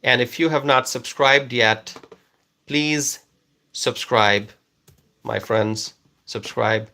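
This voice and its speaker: A man from Bangladesh whose first language is Bengali, hosting a live stream and reading out viewer comments in a slow, repetitive, coaxing way. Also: medium pitch, bright, forceful tone.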